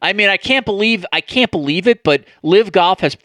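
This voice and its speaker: A man's voice: high voice, expressive, normal speed, bright, forceful tone, dry recording, studio quality. A man hosting a casual sports podcast, rambling with many fillers. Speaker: podcast host.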